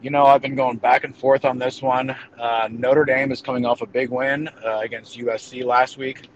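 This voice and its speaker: A man's voice: mid-range voice, level tone, normal speed, highs cut off, a little hiss or hum. A man giving casual football predictions as a guest analyst, hesitating and thinking aloud as he goes. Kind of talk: casual game-prediction commentary.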